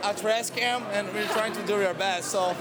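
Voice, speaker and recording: A man's voice: sits high, brisk. A young man from Brazil with a Brazilian Portuguese accent, a student chatting in a friendly way. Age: young adult. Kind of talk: casual chat. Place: crowded bar. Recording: loud crowd and music behind.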